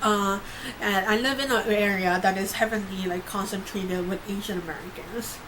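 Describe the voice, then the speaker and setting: A woman's voice: medium pitch, steady tone, normal speed. Young woman, from Korea and New York, casual vlog talk, filler-heavy, noisy recording. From Korea, grew up in New York. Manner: chatty, many fillers and restarts. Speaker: YouTuber.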